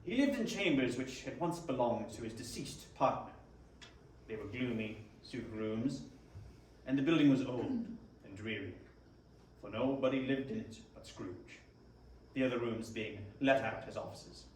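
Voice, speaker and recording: A man reading scripted story narration in a measured, formal way. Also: medium pitch. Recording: echoey room, distant mic, faint noise.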